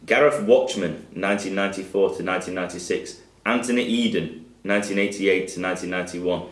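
A man reading out pub quiz questions to a room of players, in a measured and clear way. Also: low voice. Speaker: quizmaster. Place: pub function room with a group at tables. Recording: roomy pub room sound.

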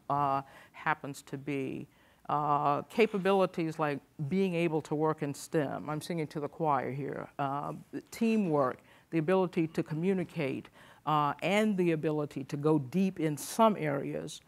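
An older woman on a panel stage talks in a measured, reflective way, often pausing with "uh". Her voice carries a gravelly edge. She speaks into a lapel mic. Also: low-pitched. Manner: measured, thoughtful, with frequent "uh" pauses. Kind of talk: panel discussion.

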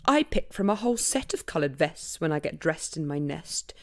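A woman's voice: deep voice, very animated, moderate pace. Woman doing a vain little storybook robin, fussy and prim.

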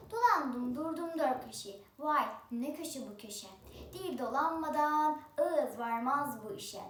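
A woman's voice: high-pitched, a bit bright, distant mic. A female storyteller telling a folk tale expressively and theatrically, stretching and repeating words. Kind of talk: oral folk-tale storytelling with rhyming opening. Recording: roomy echo, away from the mic.

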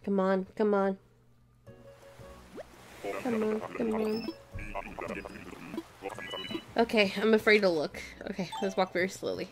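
A woman's voice: mid-range voice, steady tone, moderate pace. Woman streamer chatting over her game, kinda dramatic and begging a lot, voice getting worn out, music playing underneath. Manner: chatty, pleading, playfully dramatic. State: losing her voice. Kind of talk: casual gameplay commentary.

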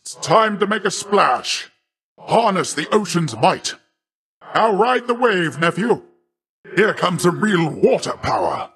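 A boastful sea god who booms out every line with hearty cheer. His voice swings lively up and down, a touch high, taken slowly. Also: full character voice. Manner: hearty, boisterous. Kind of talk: video game voice lines.

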